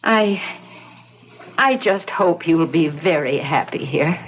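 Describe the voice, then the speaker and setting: An actress playing an anxious, deferential housekeeper in an old radio drama, speaking politely and hesitantly. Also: female voice, sits low, lively intonation, takes it slowly. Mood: nervous, deferential. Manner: hesitant, polite, fussing, trailing off. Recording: muffled, hissy old radio drama recording.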